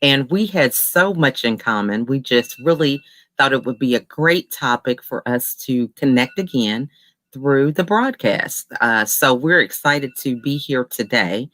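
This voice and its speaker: A woman's voice: a bass voice, expressive, a little slow, a bit bright, dry recording. A woman hosts an interview over a video call. She sounds upbeat and chatty and slips in an "uh" or "um" now and then. Her voice comes through dry and close, with no room around it. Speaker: host. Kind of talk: casual interview chat.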